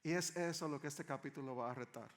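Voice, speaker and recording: A male pastor with a Dominican accent delivers a sermon in a fairly high-pitched voice. His manner is conversational and leans on rhetorical questions. The sound carries long reverb, as in a large hall. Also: quick pace, a bit bright. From Dominican Republic. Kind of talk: sermon to a congregation.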